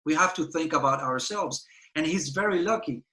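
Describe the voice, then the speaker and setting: A man with a Puerto Rican Spanish accent talks with passion in an interview and circles back on his own phrases for emphasis. His voice sits high for a man and sounds bright and forward. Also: lively intonation, moderate pace. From Puerto Rico. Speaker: guest actor. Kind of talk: earnest interview conversation.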